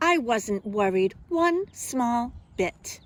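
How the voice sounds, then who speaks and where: A woman kindergarten teacher speaking simply and expressively to young children, in a deliberate storytime manner. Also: on the high side, animated, slight background noise. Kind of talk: children's storybook read-aloud and simple instruction.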